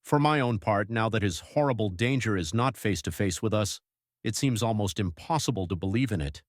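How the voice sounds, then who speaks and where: A man playing a troubled, devoted young husband reading his journal in measured literary diction for an audiobook. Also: fairly low pitch, dry recording, studio quality, lightly acted. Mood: relieved, reflective. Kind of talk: dramatised audiobook narration.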